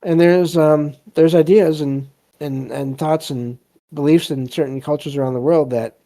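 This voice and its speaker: A man hosting a podcast, reading stories aloud and commenting casually in a halting, reflective way. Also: on the high side, fairly even intonation, warm tone. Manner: halting, hesitant, reflective delivery. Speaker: podcast host.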